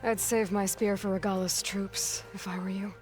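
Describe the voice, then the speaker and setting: A woman's voice: mid-range voice, level tone, normal speed, darkish tone, soft background music, close to an everyday voice. A young woman playing a determined, guarded game heroine with a terse, dry, plain-spoken delivery. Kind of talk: cinematic video game acting.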